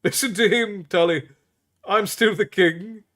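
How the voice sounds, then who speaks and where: A man's voice: high voice, a little slow, pushed and bright, voice pushed up. A man doing a jovial, teasing young king.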